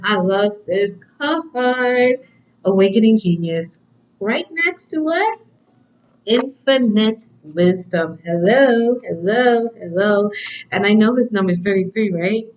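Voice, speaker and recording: A woman giving a spiritual oracle card reading in a gentle, affirming way, with frequent ums and soft laughs, her voice sometimes dropping low. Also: animated, slow, dull audio.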